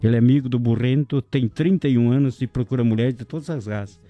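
A man hosting a casual local radio show, jokey and chatty, giving shout-outs to listeners and sponsors. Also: medium pitch, fast talker, dry recording, faint music behind. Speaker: radio host.

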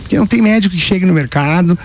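A man's voice: sits high, racing, poor audio. A middle-aged man with a southern Brazilian gaúcho accent, explaining things conversationally as an expert interview guest. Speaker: guest neurologist and author.

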